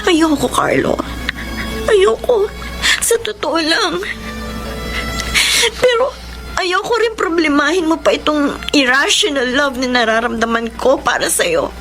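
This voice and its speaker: A woman's voice: high-pitched, lively intonation, unhurried, poor audio. A young woman playing a lovelorn, earnest older sister in a Tagalog radio romance, confessing with emotion and mixing in English. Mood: pained, pleading. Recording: music under the voice.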